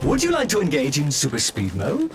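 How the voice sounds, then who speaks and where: High, swooping robot tour guide. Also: male voice, wildly swooping pitch, brisk. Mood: eager, officious. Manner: formal, announcer-like, sing-song. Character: eager, servile robot tour guide, quirky and officious. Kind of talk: video game voice acting. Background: game background music.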